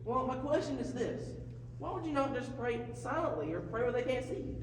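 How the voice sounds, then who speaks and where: A man's voice: extremely high pitch, expressive, a little fast. A man voicing a jovial, folksy radio co-host puppet. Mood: genial, teasing. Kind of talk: comedic radio talk show skit. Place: live performance in a large hall. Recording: very echoey hall, distant mic, faint noise.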